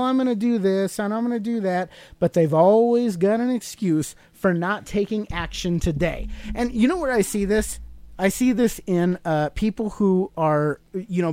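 A man's voice: sits high, a little mellow. A middle-aged American man hosting a podcast, giving an emphatic, preachy motivational talk full of rhetorical questions. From United States. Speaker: podcast host.

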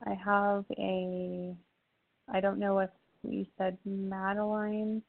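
A woman psychic medium giving readings to callers in a gentle, reassuring way. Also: medium pitch, completely flat delivery, slow, creaky. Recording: phone-line audio, faint noise.

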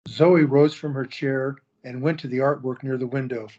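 A man narrating an audio drama in a measured storytelling manner. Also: mid-range voice, steady tone, a little mellow. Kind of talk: scripted narration. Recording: dull lo-fi recording.

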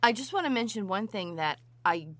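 Bright, scratchy-voiced woman co-host. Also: mid-range voice, lively intonation, fairly quick, no echo. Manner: giggly, teasing. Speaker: podcast co-host, nurse midwife. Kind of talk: casual podcast banter.

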